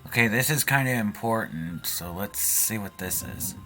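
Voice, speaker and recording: A man giving casual gameplay commentary with some improvised role-play, speaking in a halting, hesitant way. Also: on the low side, animated. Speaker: video game let's player. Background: game music.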